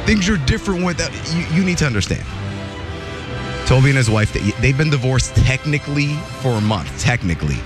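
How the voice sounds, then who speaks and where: A man hosting a morning radio show, telling entertainment news in a jokey, animated way. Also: a little fast, music in the background. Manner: animated, jokey, voice jumps high for emphasis.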